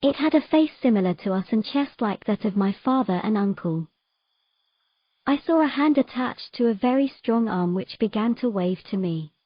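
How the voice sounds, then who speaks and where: A woman playing a sorrowful young mermaid in an audio drama, telling of her past in a gentle, measured, halting way. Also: fairly high pitch, animated, dry recording, muffled recording. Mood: wistful.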